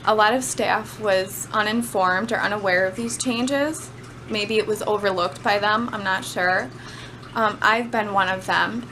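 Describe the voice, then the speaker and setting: A woman's voice: mid-range voice, a bit bright. Woman giving a speech, noisy recording. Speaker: student giving a class speech. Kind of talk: informal prepared speech on personal work experience. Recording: clearly noisy amateur camera recording.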